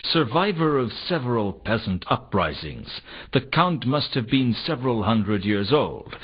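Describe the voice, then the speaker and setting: An actor playing a world-weary, suspicious, sardonic private detective, narrating a noir video game in a dry, wry, deadpan manner. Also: male voice, fairly low pitch, husky, heavily acted. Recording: slightly dull, compressed console game audio.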